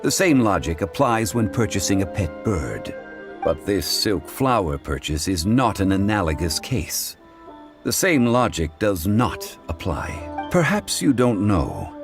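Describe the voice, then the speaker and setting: Erudite game gentleman: low, formal, eloquent, widely inflected, music behind. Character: composed, erudite gentleman scholar, courteous and tradition-minded. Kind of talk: video game voice acting.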